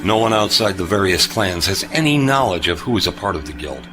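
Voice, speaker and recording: A man playing a wry, composed spacefarer who speaks gravely and knowingly in a sci-fi audio drama. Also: low-pitched, expressive, brisk, over music. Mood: grim.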